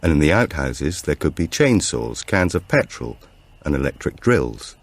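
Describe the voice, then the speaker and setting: Male audiobook narrator, extremely low register, lively intonation, for dramatic thriller storytelling. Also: normal speed, gravelly, dry recording.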